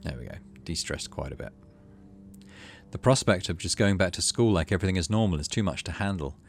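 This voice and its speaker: A man hosting a gaming video, reading story text aloud and adding low-key, muttered asides in casual commentary. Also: animated, a little fast, creaky, faint music behind. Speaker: gaming channel host.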